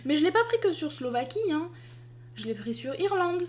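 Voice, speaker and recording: Young woman from France, slightly high voice, casual and lively, exasperated vlog storyteller. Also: moderate pace, slight background noise. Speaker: artist and video creator. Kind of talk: casual chat to the camera.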